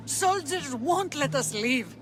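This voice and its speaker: A sick villager in a game, super high voice, talks slow, earnest, begging kinda delivery. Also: female voice, expressive, over music. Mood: desperate pleading. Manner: earnest, imploring. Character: desperate, pleading plague-stricken villager woman. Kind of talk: dramatic game cutscene dialogue.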